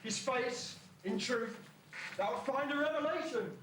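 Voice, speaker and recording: A man's voice: very high-pitched, unhurried, far from the mic. A man playing a pompous servant in an amateur pantomime, declaiming formally in mock-archaic rhyme. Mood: stately. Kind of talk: amateur pantomime acting, rhyming verse. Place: school hall stage, far camera. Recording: noisy, echoey amateur stage recording from afar.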